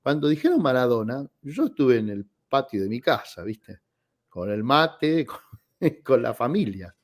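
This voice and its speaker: A man in his forties with an Argentine accent, a guest telling personal anecdotes in an expressive, conversational radio interview style. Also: mid-range voice, a little slow. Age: 40s. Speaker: guest, tenor singer.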